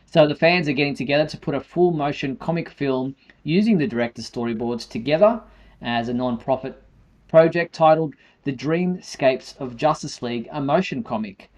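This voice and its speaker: A man hosting a fan news show in a conversational, somewhat halting style. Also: medium pitch, darkish tone. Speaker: show host. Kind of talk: semi-scripted fan news presenting.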